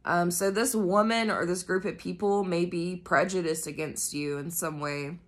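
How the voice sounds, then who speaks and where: A woman's voice: lowish voice, steady tone, normal speed. A woman with a nasal voice from a cold, casually doing a tarot reading and chatting to her viewers. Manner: chatty, swears freely, many fillers. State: coming down with a cold. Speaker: tarot reader. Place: indoors at a floor-level reading table. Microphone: camera pointed at the cards, speaker off-frame.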